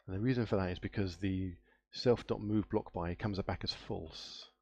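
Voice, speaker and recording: Low, gravelly, nasal man coding. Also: steady tone, normal speed, a little mellow. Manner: muttering to himself, trailing off and spelling words out while searching. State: nasal from a cold. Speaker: game developer live-streaming his coding. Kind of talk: casual thinking-aloud coding stream.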